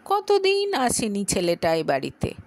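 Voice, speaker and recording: A woman's voice: medium pitch, huge pitch swings, measured pace, no echo. A woman voicing a worried older mother, fretful and mildly chiding, in an audio story. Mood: mildly reproachful.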